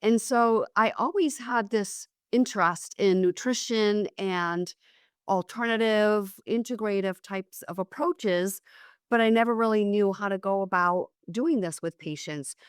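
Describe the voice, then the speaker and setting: A middle-aged woman with a hoarse voice from a cold, talking casually as an interview guest, often restarting her sentences. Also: mid-range voice, unhurried, no room sound, clean studio sound. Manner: conversational, with frequent restarts and fillers. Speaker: guest, psychiatric nurse practitioner.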